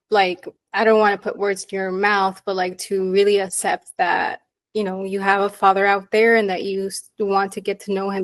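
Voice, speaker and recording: A woman hosting a podcast interview, asking earnest, encouraging questions. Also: medium pitch. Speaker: podcast host and coach. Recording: loud guitar music behind voice. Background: guitar music.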